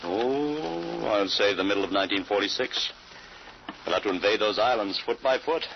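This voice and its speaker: A man's voice: fairly low pitch, animated, moderate pace, vocal fry. An actor playing a genial middle-aged small-town father in an old-time radio drama. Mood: easygoing. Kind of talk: 1940s radio acting. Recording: muffled, hissy old radio recording.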